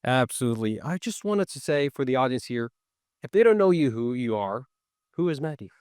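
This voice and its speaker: Relaxed male podcast host. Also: mid-range voice, fairly quick, clear, resonant tone, dry recording, clean studio sound. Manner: relaxed, asks short questions. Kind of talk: interview questions.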